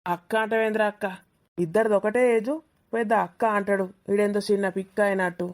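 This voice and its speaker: A woman's voice: medium pitch, fairly even intonation, a little fast, close to an everyday voice. Woman playing a cheeky teasing young Telangana lad. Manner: mocking, teasing, colloquial. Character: cheeky young male student, teasing, boastful exam cheat. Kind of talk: village comedy cartoon dialogue.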